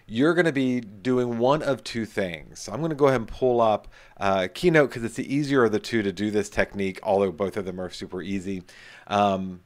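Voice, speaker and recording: A middle-aged man giving a casual, chatty screen-share tutorial, talking from a home office into a webcam and leaning on emphatic repeated words. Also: medium pitch. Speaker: instructor.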